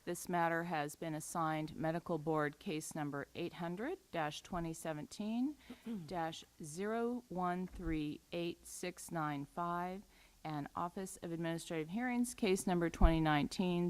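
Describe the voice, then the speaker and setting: A woman working as an administrative law judge, opening a formal hearing in a measured, procedural way. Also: low-pitched, low-quality recording. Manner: measured, procedural, spells out case numbers slowly.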